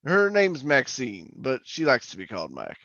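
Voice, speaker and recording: An actor playing a garrulous middle-aged former oil-rig roughneck, telling his tale in a rambling, folksy radio drama manner. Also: medium pitch, wildly swooping pitch, normal speed, a bit bright, dry recording, highs cut off, full character voice. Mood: folksy, chatty. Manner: rambling, folksy, colloquial storytelling. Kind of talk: old-time radio drama monologue and dialogue.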